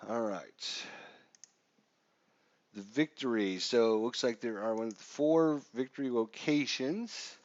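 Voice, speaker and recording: A man's voice: mid-range voice, takes it slowly, no room sound. A man with a stuffy, congested voice casually walking viewers through a wargame scenario on a live stream, with lots of fillers. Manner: casual, chatty with frequent fillers. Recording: dull, dry lo-fi stream audio.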